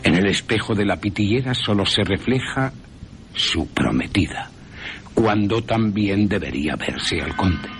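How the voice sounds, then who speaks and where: A man with an accent from Spain narrating a horror story for radio in a theatrical, suspenseful way with long pauses. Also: fairly low pitch, animated, fairly bright tone, slight background noise. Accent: Spanish from Spain. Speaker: radio drama narrator and presenter. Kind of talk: dramatic scripted horror narration.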